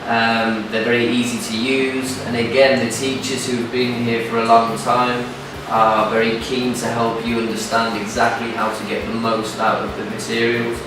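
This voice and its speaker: A man's voice: mid-range voice, monotone, moderate pace, distant mic, noisy background. A man, an English teacher listing positives with enthusiasm in a recruitment interview. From Birmingham, England. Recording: echoey room from off-mic, music bed.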